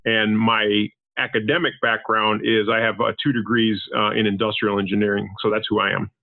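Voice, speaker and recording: A man from Panama whose first language is Spanish, a podcast guest explaining his background in business consulting in a measured way with frequent repeated words. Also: lowish voice, flat delivery, gravelly, no room sound. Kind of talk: conversational interview answers. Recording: tinny phone-line call, dry.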